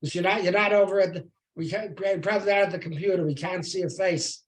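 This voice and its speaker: A man hosting an online song circle, chatting casually and haltingly as he organizes people over a computer mic. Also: high-pitched, slight background noise. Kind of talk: casual chat on a group video call. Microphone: computer mic on a video call.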